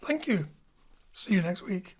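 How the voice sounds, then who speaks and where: A woman's voice: mid-range voice, big pitch swings, a little slow, breathy edge. A woman hosting a remote chat show, teasing and upbeat as she questions guests. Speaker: host.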